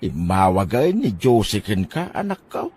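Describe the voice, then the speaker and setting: A man's voice: fairly low pitch, very animated, darkish tone, breathy edge. A man playing an elderly father who speaks tenderly and worriedly to his son in an Ilocano radio drama. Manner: slow, wavering.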